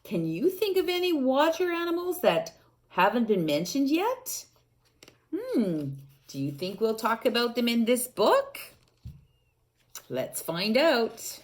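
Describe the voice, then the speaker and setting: A woman reading a storybook aloud to children, animated and asking them questions, sometimes dropping to a whisper. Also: on the high side, slow.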